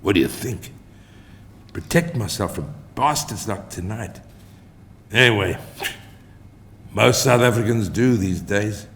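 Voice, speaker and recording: A man's voice: on the low side, expressive, unhurried, vocal fry, pauses often, fairly bright tone, slight background noise, pitched below the performer's own voice, heavily acted. A man voicing a protective, commanding male rescuer, firm and in control, in a novel read aloud. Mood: gruff. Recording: echoey hall, long reverb.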